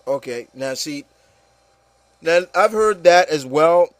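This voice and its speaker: Male panel host, fairly high-pitched, dry recording. Opinionated, emphatic, conversational, repeats points. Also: animated, slow pace, no echo. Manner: emphatic, repetitive, peppered with "you know". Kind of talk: casual opinionated panel discussion.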